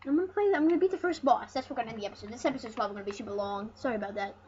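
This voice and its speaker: A woman's voice: a little high, racing, darkish tone. A woman hosting a let's play, rambling and joking with casual swearing as she comments and reads game dialogue aloud. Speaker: let's play host and player. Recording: muffled lo-fi mic with background noise.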